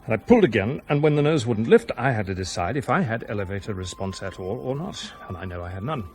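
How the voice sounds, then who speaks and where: An actor playing a stubborn, agitated airline captain in a radio drama, curt, indignant and insistent in defending himself. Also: male voice, on the low side, sing-song, fast, a little mellow. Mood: tense, defensive.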